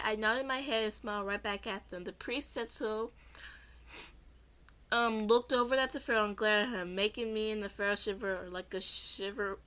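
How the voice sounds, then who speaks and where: A woman's voice: mid-range voice, sharp tone. A young woman casually reading her own story aloud, rambling into informal, self-deprecating asides. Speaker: amateur story narrator. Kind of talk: casual chatty read-along of own story.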